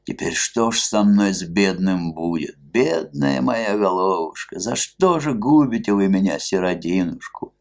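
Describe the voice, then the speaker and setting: A man's voice: big pitch swings, measured pace, vocal fry, exaggerated voice. A man doing a drunken boorish masked merchant. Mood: mock-pitiful. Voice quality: low thick muffled bass. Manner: rowdy, bullying, coarse folksy speech.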